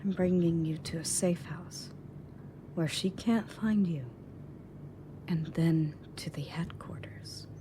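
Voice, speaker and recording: A woman's voice: fairly low pitch, slow pace. A woman playing a confident, matter-of-fact rescue agent in an audio roleplay, speaking conversationally to the listener. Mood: reassuring, businesslike. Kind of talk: scripted audio roleplay, in-character monologue to listener. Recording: clearly audible background noise and ambience.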